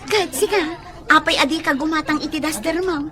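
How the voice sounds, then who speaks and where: An actress with an Ilocano accent playing a sullen, nagging, quick-tempered wife in a comedy radio drama. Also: female voice, sits high, crowd noise, lightly acted. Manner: scolding, irritable.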